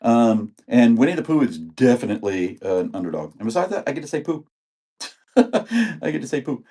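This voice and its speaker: A man's voice: medium pitch, very animated, normal speed, dark tone. A man in his sixties, getting over an illness, chatting casually and rambling as he sells videotapes on a live auction stream and greets viewers by name. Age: 60s. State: recovering from illness.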